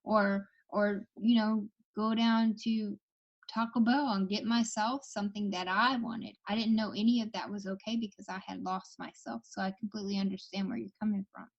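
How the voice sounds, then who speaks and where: A woman reads cards for callers and talks them through their troubles in a relaxed, chatty way. Her voice sits at an ordinary pitch. The recording is a bit dull and lo-fi. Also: normal speed. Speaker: tarot reader hosting a call-in show. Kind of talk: casual advice chat.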